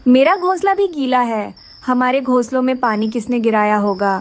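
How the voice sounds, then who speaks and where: Woman as a high-voiced motherly bird. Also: normal speed, natural voice. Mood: firm, annoyed. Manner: earnest, motherly. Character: caring but firm mother bird. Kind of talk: Hindi children's cartoon voice acting.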